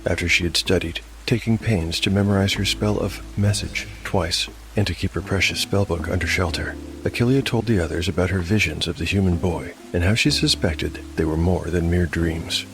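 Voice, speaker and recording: A man narrating a scripted dark fantasy tale as game master, with measured storytelling delivery. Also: low-pitched, low-quality recording. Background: music.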